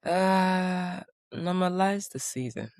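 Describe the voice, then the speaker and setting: Smooth-voiced woman sharing advice. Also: on the low side, slow, darkish tone, no echo, clean studio sound. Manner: reflective, repeats key phrases for emphasis. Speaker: guest, doctoral researcher. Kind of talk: conversational interview.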